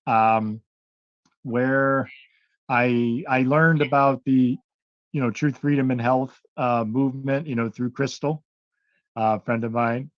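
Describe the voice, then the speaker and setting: A man's voice: medium pitch, steady tone, slow pace, no room sound, muffled recording. A man from Connecticut introducing himself on a call, hesitant and full of fillers. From Connecticut, USA. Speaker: caller.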